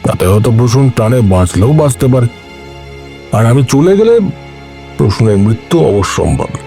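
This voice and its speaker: Man acting a mellow, dramatic, grave father. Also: mid-range voice, big pitch swings, normal speed, soft background music. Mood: grave, firm. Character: grave, protective father caught in occult horror. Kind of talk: Bengali horror audio drama acting.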